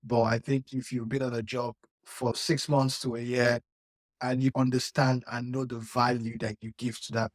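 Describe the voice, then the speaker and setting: A young man from Nigeria co-hosts a casual podcast, speaking in an earnest, reflective way and leaning on "like" as he thinks. His voice sits at a middling pitch. The recording is dry and close, with no room sound. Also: husky, no echo. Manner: earnest, reflective, many "like" fillers. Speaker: podcast co-host. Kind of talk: casual chat.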